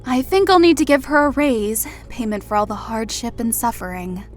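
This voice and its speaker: A woman playing a wry, affectionate elf in an audio drama, speaking in a measured, dryly teasing way. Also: a little high, lively intonation, faint music behind, natural voice. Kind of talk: audio drama character acting.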